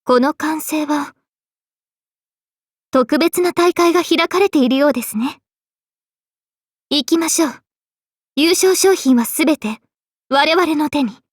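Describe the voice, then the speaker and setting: A woman voicing a gentle, dignified young female knight in a game, speaking politely and with composure. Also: high voice, a little slow, pauses often, no room sound, studio quality. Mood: polite, composed. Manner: polite, composed, formal speech. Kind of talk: scripted game character voice lines.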